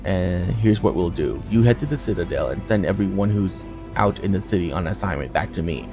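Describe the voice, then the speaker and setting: A man reading aloud the game dialogue of a talkative, gruff soldier, in blunt colloquial speech. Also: sits low, a little fast, over music, natural voice. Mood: resolute.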